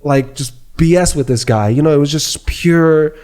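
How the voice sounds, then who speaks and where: A man hosting a podcast in a casual, excited way, often repeating himself for emphasis. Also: a little high, normal speed. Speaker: podcast host.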